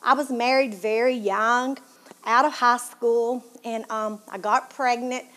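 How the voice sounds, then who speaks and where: A woman's voice: on the high side, fairly even intonation, a little slow, low-quality recording. Heartfelt Tennessee woman sharing her story. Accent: Southern US, Tennessee. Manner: earnest, heartfelt, drops to a hushed tone at painful moments. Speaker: show host. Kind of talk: personal testimony talk.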